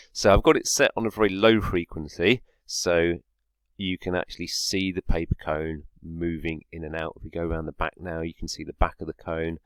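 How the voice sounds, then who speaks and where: A man's voice: sits low, dry recording. A man teaching a science lesson, explaining step by step and often checking in with a short tag word. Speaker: physics teacher.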